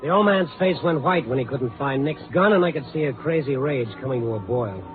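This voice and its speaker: An American man acts a tough, steady reporter in old-time radio drama. His delivery is dry and clipped, and the sound is narrow and boxy like an old broadcast. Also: medium pitch, fairly quick, faint music behind, close to an everyday voice. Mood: tense narration. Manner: clipped, dry, hard-boiled. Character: tough, steady newspaper reporter working the night beat. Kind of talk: 1950s radio drama narration and dialogue.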